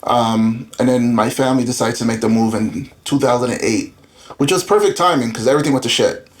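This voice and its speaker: A man's voice: mid-range voice, a little fast. A man in his thirties from Florida talks as a podcast guest. He tells his story candidly, rambling and padding it with fillers. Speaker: guest entrepreneur. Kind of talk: casual interview chat.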